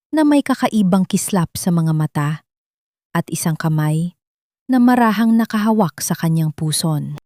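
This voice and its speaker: A woman narrates a scripted drama. Her delivery is measured and sentimental, with a literary turn. The pitch sits in a middle range for a woman, and the recording is dry and close. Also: a little mellow, no room sound. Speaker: narrator of audio love stories.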